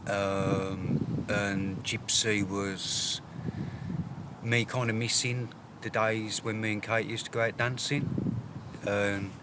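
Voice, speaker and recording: A man speaking candidly and reflectively about his own poetry, hesitant, with long pauses. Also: fairly low pitch, little pitch movement, very noisy. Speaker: poet. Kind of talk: candid personal talk about own poems.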